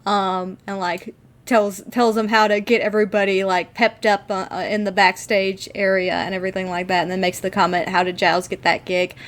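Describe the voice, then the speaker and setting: A woman's voice: mid-range voice, flat delivery, fairly quick. A woman co-hosting a podcast, chatting casually and enthusiastically as a giggly fan recapping a TV episode. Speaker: podcast co-host.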